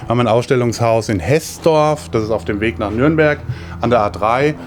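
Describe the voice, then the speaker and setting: A man's voice: mid-range voice, fairly quick, faint music behind. A middle-aged man from Hesse explaining things in a matter-of-fact, informal way as a show-home sales adviser. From Hesse, Germany. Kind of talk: explanatory interview answers. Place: show house.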